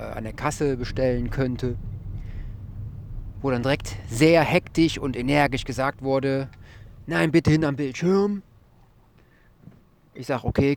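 A man's voice: medium pitch, a little mellow, slight background noise. A man hosting his own podcast, telling a wordy, mildly ironic story in a casual monologue. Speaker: podcast host.

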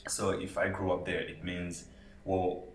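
A man's voice: deep voice, monotone, unhurried. A man from Malawi hosting a podcast interview, asking curious, direct questions in a casual, chatty way. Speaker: podcast host. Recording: echoey room with faint noise.

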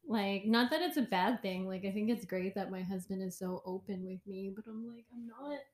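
A woman's voice: mid-range voice, moderate pace, a little mellow. A woman co-hosting a podcast, rambling in casual chat with many fillers. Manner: rambling, lots of fillers, laughs along. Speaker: podcast co-host. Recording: echoey room.

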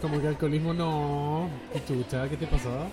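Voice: mid-range voice, steady tone, normal speed. A Chilean host chatting casually, teasing and joking with slang. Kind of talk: casual chat. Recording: music and crowd behind.

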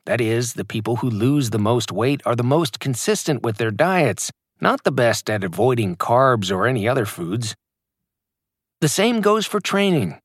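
A man's voice: medium pitch, sing-song, normal speed, husky, no echo, studio quality. A man reading his own fitness audiobook aloud in a scripted, emphatic, motivational delivery.

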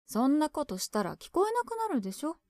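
A woman's voice: high-pitched, big pitch swings, fast, a little mellow, no echo, studio quality. An actress playing an earnest, needy, devoted schoolgirl in a Japanese audio drama, with an emotional, hesitant delivery. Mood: defensive. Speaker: voice actor.

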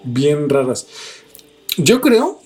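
A man's voice: on the high side, sing-song, very slow, darkish tone, soft background music. A Mexican man co-hosting a casual podcast debate, opinionated, slangy and freely swearing. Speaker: podcast co-host.

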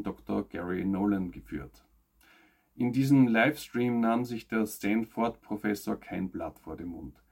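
A man reads scripted news as a presenter, in measured, emphatic phrases that fall away at sentence ends. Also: fairly low pitch, vocal fry, a little mellow, husky.

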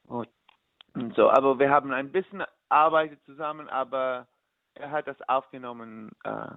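A man from the United States, a musician, answering in halting German and slipping into English. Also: mid-range voice, creaky, dry recording. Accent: American. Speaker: musician guest. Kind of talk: casual interview in learner German. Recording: dry, phone-like line.

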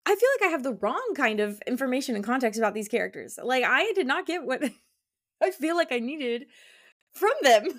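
A woman's voice: high voice, sing-song, moderate pace, fairly bright tone. A woman co-hosting a casual book chat, talking informally and effusively with lots of "like". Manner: informal and effusive, heavy use of "like". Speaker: podcast co-host; school teacher.

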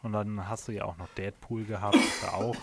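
A man's voice: fairly low pitch, fairly even intonation, a little fast, vocal fry, background noise. A man hosting a casual podcast chat among friends, leading the talk with many hesitations and fillers. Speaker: podcast host.

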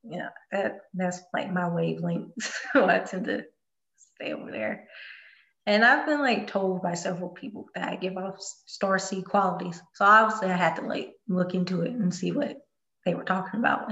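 A woman hosting a podcast, talking in a reflective, soft-spoken way with many fillers. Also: lowish voice, moderate pace, creaky. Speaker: podcast host. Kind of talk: casual podcast chat. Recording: roomy, dull lo-fi sound.

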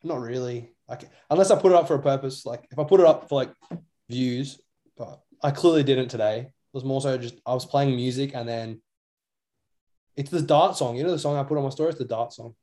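A young man with an Australian accent chats as a podcast co-host. His pitch is average for a man. He rambles in a loose, matey way, full of slang. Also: brisk, dark tone. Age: young adult. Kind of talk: casual chat.

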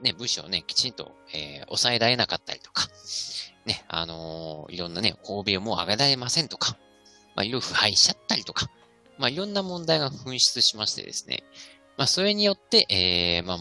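A man giving an informal, impromptu history explanation in halting, choppy phrases with many fillers. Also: lowish voice, pushed and bright. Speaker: history club member, podcast panelist.

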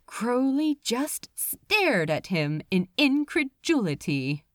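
A woman narrates scripted fiction at an unhurried pace. Her intonation is lively and dramatic, shaped for expressive storytelling. The sound is dry and close. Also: a little high, dry recording. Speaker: audiobook narrator.

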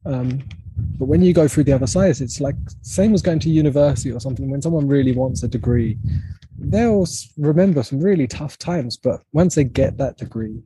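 Male podcast host, breathy and hushed, halting interviewer who gropes for words. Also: mid-range voice, low-quality recording. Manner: halting, searching for words with restarts. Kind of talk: conversational interview.

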